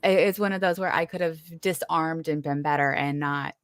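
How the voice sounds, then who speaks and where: A woman with a notably low-pitched voice hosts a relaxed conversation, chatty and quick to laugh. She often restarts mid-sentence. The sound is dry and close, with no room around it. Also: clear, resonant tone, dry recording. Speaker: podcast host. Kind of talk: casual conversational interview.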